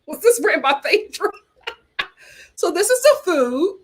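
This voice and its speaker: A woman with an American accent and a high voice hosts a casual chat. Her pitch swings up and down in a lively way as she reads aloud and comments. She drops in playful, teasing asides as she goes. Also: measured pace. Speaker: host of a reality TV chat show.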